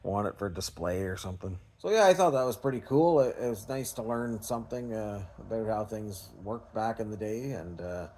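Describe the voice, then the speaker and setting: Man, Canadian accent, lowish voice, casual vlog chat, rambling, hesitant, unscripted. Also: normal speed, hardly any pauses, darkish tone, a little hiss or hum. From Canada, small-town Ontario. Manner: rambling, hesitant, self-effacing. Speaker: scrap recycling vlogger.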